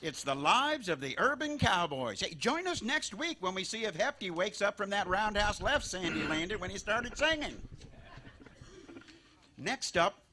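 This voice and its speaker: A man announcing a mock radio serial with a dramatic, deliberate delivery in a live stage recording. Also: high-pitched, sing-song, bright, forceful tone.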